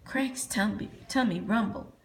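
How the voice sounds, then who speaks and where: A woman's voice: mid-range voice, measured pace. A woman teacher reading a children's storybook aloud as its narrator.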